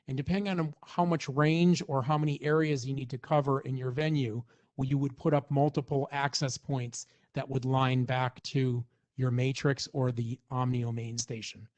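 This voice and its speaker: A man's voice: medium pitch, flat delivery, unhurried, few pauses, darkish tone. A man presenting a product walkthrough in an informal, explanatory way, as in a semi-scripted technical demonstration.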